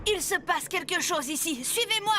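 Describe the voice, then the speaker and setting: Very high-voiced boy pirate leader. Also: lively intonation, moderate pace, heavy background noise. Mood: alert. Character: brave young boy pirate leader, eager, upbeat. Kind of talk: French children's cartoon dubbing. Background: background music.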